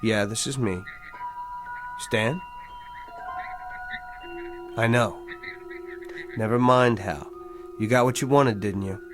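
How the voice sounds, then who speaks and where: An actor playing a scheming working-class garage attendant in a television drama, talking colloquially and coaxingly. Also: male voice, on the low side, slow pace, pauses often, over music. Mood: curt.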